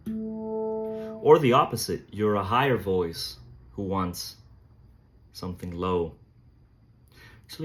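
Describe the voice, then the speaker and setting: A man with a low voice giving a casual, thoughtful demonstration on a phone vlog, talking slowly with soft pauses. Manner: slow, thoughtful, reflective talk with soft fillers. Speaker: musician reviewing handpan drums. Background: tonal drum playing.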